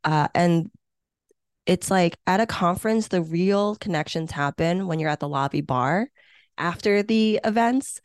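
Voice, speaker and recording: A woman's voice: fairly low pitch, measured pace, clean tone, dry recording, studio quality. A woman from Hong Kong, an event planner, talking in an upbeat, reflective way with frequent filler phrases as a podcast guest. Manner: upbeat, reflective, many "you know" fillers. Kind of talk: casual interview talk.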